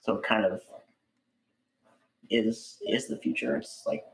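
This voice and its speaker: A man speaking as a guest in a casual interview, in a halting way that repeats words for emphasis. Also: fairly low pitch, gravelly, low-quality recording. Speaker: interview guest, audiobook voice actor.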